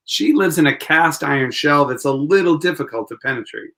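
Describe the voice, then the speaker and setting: A man plays a young lawyer in an old-time radio drama. He is wry and a bit lovelorn, with an animated delivery that moves up and down a lot. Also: a little high, a little fast. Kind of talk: 1940s radio acting.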